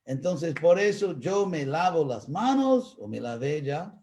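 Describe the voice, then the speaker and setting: A man with an American accent, a native English speaker, teaching in a live online talk and answering questions, pausing to search for words. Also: a little high, big pitch swings, mellow. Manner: slow, searching for Spanish words with pauses. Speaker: spiritual teacher.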